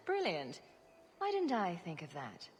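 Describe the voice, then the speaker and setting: An actress playing a sarcastic, quick-witted champion adventurer with a dry, joking delivery in a video game. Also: female voice, mid-range voice, wildly swooping pitch, in a large hall, slight background noise. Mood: wry.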